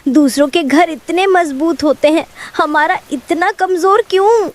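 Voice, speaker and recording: A woman's voice: very high voice, unhurried. A woman voicing a poor, frightened, innocent little village girl in a cartoon story. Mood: fearful, innocent.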